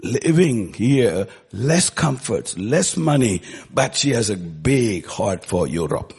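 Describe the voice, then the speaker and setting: A preacher with an Indian accent delivering an emphatic, exhorting charismatic sermon in short, repeated phrases with a husky, gravelly voice. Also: mid-range voice, very animated, takes it slowly, darkish tone.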